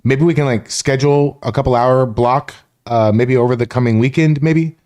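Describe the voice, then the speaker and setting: A man's voice: medium pitch, steady tone, normal speed, darkish tone. A man from Florida hosting a casual live stream, rambling, greeting chat viewers by name and swearing freely. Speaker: livestream host.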